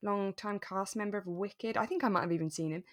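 A woman's voice: mid-range voice, brisk, no room sound, clean studio sound. A woman co-hosting a podcast in casual chat about a radio drama, speaking emphatically and repeating words for stress. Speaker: podcast co-host.

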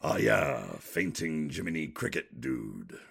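An actor playing a gruff, sardonic, easily exasperated man in an audio drama, with a raspy, gravelly voice. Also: a bass voice, lively intonation, a little slow, fairly bright tone, exaggerated voice. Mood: exasperated disbelief.

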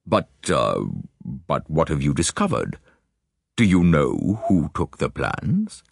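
A man voicing a dignified British lord and statesman in an audiobook, puzzled and earnest, with slow, halting speech. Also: very deep voice, wildly swooping pitch, gravelly, slightly rough, no room sound, clean studio sound, full character voice. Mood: puzzled, hesitant.

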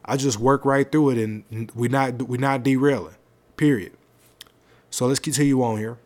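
A man with a naturally deep voice, hosting his own podcast in loose, slangy, rambling talk into a close microphone at home. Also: fairly even intonation, moderate pace, a little mellow. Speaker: podcast host. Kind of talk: casual solo podcast talk. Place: home setup, seated on a leather seat.

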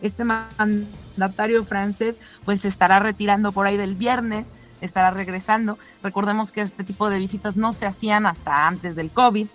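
A woman presenting the news in an informal, conversational way, somewhat halting and given to rhetorical questions. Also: mid-range voice, steady tone, faint music behind, low-quality recording.

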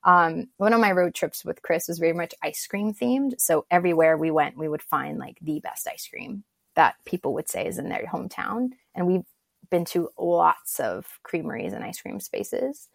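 A woman's voice: lowish voice, animated, moderate pace, creaky, dry recording. A woman from Turkey, a guest on an interview show, speaking in a reflective and encouraging way. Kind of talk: casual interview talk.

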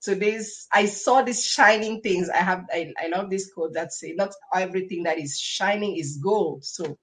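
A woman's voice: fairly low pitch, normal speed, a bit bright. Enthusiastic woman. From Cameroon. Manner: enthusiastic, emphatic repetition. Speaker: podcast guest, entrepreneur. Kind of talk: conversational personal storytelling.

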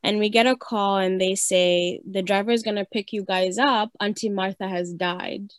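A woman's voice: mid-range voice, steady tone, moderate pace, dry recording. A woman from Uganda hosting a podcast, chatty and encouraging, often affirming her guest. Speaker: podcast host. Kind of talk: conversational podcast chat.

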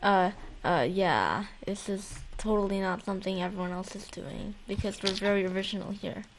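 A woman co-hosting a casual gaming podcast, chatting unscripted with halting, repeated words and casual swearing. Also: mid-range voice, a little hiss or hum. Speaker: podcast co-host.

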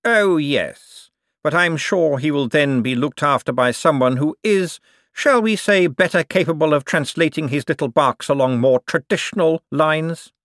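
A man voicing a smug, wealthy bank director in an audiobook, suave and condescending, with drawn-out, menacing hints. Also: a little high, fairly bright tone, no echo, clean studio sound, voice pushed up. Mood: veiled threat. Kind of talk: audiobook character dialogue.

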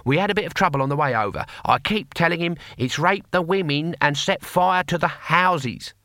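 A man's voice: a little high, big pitch swings, moderate pace, pushed and bright, dry recording, studio quality, pitched above the performer's own voice, full character voice. A man voicing an elderly, proud and defiant barbarian hero in an audiobook story, with blunt, boastful, colloquial speech. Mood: benevolent, defensive.

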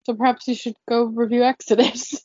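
A woman's voice: fairly high pitch, husky, dry recording. An American woman co-hosting a casual chat, teasing and matter-of-fact, laughing often. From United States. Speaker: podcast co-host. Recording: dry, dull lo-fi sound.

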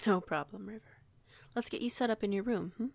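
A woman playing a young, kindly, protective werewolf pack alpha in an audio roleplay, measured and gently authoritative. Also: medium pitch, vocal fry, no echo. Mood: kindly, assured.

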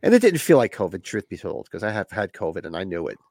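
A man co-hosting a podcast in casual chat, gravelly and smoky from a recent flu, laid-back and swearing casually. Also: low voice, sing-song, quick pace, darkish tone, no echo, clean studio sound. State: getting over a flu. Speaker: podcast co-host.

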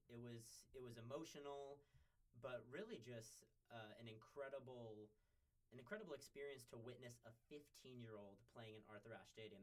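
A young man from California, a guest sports reporter, talking in a relaxed way with pauses and restarts. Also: medium pitch, rough recording. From California, United States. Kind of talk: podcast interview chat.

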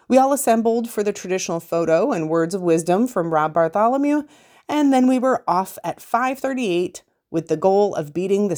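Woman w a lowish voice reading out a written story for a podcast, first person. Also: darkish tone. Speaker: podcast host reading a race report. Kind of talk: scripted first-person narration read aloud.

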